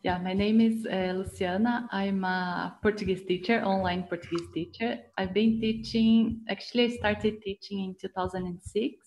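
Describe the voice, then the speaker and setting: A woman's voice: mid-range voice, steady tone, normal speed, few pauses, darkish tone, slight background noise, low-quality recording. A woman who teaches Portuguese online, introducing herself plainly with slight hesitation on a panel.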